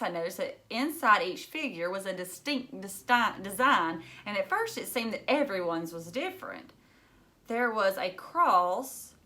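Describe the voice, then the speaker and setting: A woman's voice: medium pitch, sharp tone. A woman reading a children's novel aloud expressively, like a teacher narrating to pupils.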